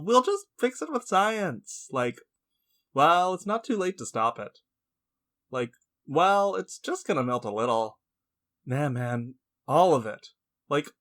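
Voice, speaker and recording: Male actor as mournful sardonic witness, highly varied pitch, casual, mocking, audio drama table read. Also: a bit bright. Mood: rueful. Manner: casual, mocking mimicry of others' excuses.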